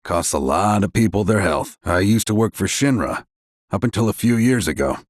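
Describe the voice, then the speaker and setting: A sombre working man from a game, with a lowish voice. He talks plainly and confessionally, close and dry. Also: expressive, normal speed, dry recording. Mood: sombre, earnest. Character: regretful former reactor maintenance worker turned planet scholar. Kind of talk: video game character dialogue.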